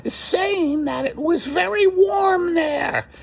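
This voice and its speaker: A man's voice: very high-pitched, takes it slowly, creaky, exaggerated voice. A man voicing an earnest, chatty woman who gossips and explains things.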